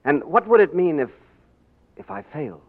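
A jovial English gentleman. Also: fairly high pitch, lively intonation, moderate pace, gravelly. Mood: amused. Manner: light, jovial, self-mocking. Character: easygoing young English gentleman posing as king. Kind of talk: 1940s radio acting. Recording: tinny old radio broadcast with faint hiss.

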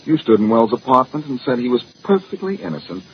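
An actor playing a cocky, wisecracking amateur detective in 1940s radio style, glib, sarcastic and teasing. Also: male voice, mid-range voice, very animated, fast talker, lightly acted. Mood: teasing, smug. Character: cocky, wisecracking amateur detective man, needling a police inspector. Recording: muffled, hissy old-time radio recording.

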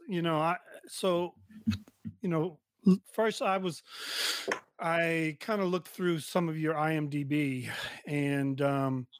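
A man's voice: on the high side, no echo. A man hosting a podcast interview, asking rambling questions full of restarts and filler words. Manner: rambling, with many restarts, likes and ums. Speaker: podcast host.

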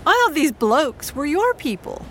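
A proper, courteous mother of middle age in a radio play. Her high voice rises and falls freely, staying crisply formal and quietly determined. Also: sing-song, measured pace, slight background noise, full character voice. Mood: prim, dry. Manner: polite, prim, crisply formal. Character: polite, proper, determined conservative middle-class English mother. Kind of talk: audio drama acting.

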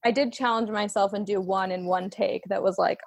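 A woman's voice: mid-range voice, steady tone, a little fast, vocal fry. Giggly American woman, mid-range voice. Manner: giggly, informal. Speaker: comedian, podcast guest. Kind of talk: casual interview chat.

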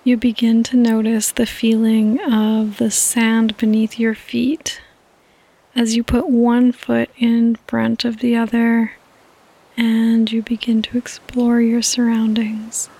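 A woman speaks in a soft, breathy near-whisper with a mellow tone. She guides listeners toward sleep gently and soothingly, keeping her delivery very even and unhurried. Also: a little high, low-quality recording. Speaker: podcast host and meditation guide.

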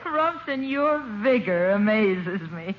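A woman's voice: medium pitch, animated, slow pace, reverberant, muffled recording. An actress playing a gracious, amused lady being courted, polite and genteel in 1950s radio comedy style. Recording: noisy old radio, studio audience behind.

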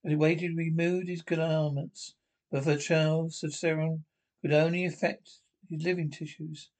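A man narrating a radio thriller script aloud, reading haltingly and stumbling over the text. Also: fairly high pitch, little pitch movement, a little mellow.

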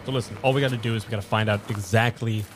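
A man's voice: on the low side, steady tone, racing, soft background music, lightly acted. A man playing a bossy, pragmatic agency investigator in an improvised audio drama. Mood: insistent.

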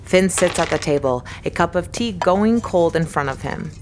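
A woman's voice: on the low side, animated, normal speed, background noise, natural voice. A woman reading scripted narration for an audio drama space adventure. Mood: measured.

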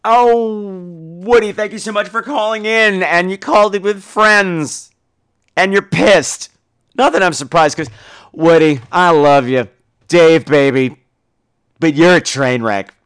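A man's voice: high-pitched, measured pace, a bit bright, clean tone. A man hosting a podcast with casual comic commentary, in a campy, sardonic, theatrical, foul-mouthed manner. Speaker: podcast host.

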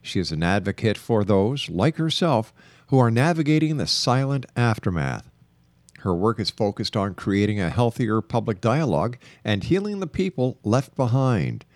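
A man's voice: fairly low pitch, very animated, moderate pace, a little mellow, no room sound. A man hosting a radio interview in a polished, emphatic broadcaster's delivery. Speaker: radio talk show host.

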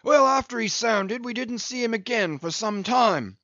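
High-pitched, boisterous jolly whaling captain. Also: male voice, lively intonation, normal speed, sharp tone, dry recording, dull audio, full character voice. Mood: hearty, excited. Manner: hearty, teasing, exclamatory.

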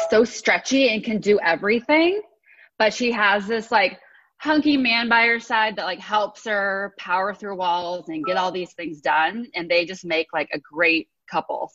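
A woman's voice: mid-range voice, fairly bright tone, dull audio. A woman on a panel answering casually, often saying "like". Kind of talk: panel discussion.

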